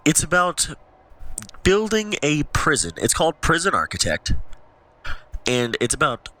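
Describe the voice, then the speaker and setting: A man's voice: fairly high pitch, wildly swooping pitch, measured pace, pushed and bright. A man with a stuffy nose from allergies, casually commentating his gameplay as a channel host, rambling and thinking aloud.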